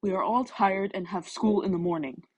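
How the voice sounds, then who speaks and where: A woman's voice: mid-range voice, normal speed, darkish tone, no echo, lightly acted, rough recording. Woman as a stern, formal teenage boy. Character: stern, rigid, rule-minded teenage boy.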